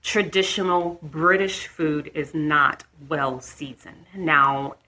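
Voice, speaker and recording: A woman's voice: deep voice, slow pace, sharp tone, a little hiss or hum, poor audio. A young American woman talking casually to the camera, chatty, with frequent restarts and light laughs. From United States. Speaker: vlogger.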